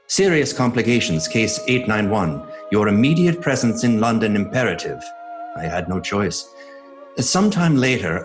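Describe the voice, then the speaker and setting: Man as sombre low-voiced doctor reading his journal. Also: natural voice. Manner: measured, sombre, literary. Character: earnest, devoted male doctor narrating his journal. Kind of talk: dramatic narration, horror audio drama.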